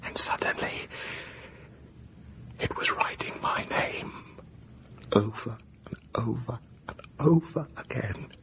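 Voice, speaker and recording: An actor playing an anxious, haunted gentleman in a radio horror drama, recounting events hesitantly and intensely, with hushed, breathy passages. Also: medium pitch, takes it slowly, pauses often, in a whisper. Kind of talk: full-cast radio horror drama acting.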